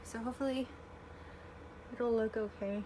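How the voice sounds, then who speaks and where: A woman in her twenties chatting casually through a makeup tutorial, self-deprecating and often clearing her throat, recorded on her phone at home. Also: fairly high pitch, steady tone, normal speed, warm tone, a little hiss or hum, poor audio. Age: 20s. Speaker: beauty vlogger. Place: home room with air conditioner. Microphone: phone camera.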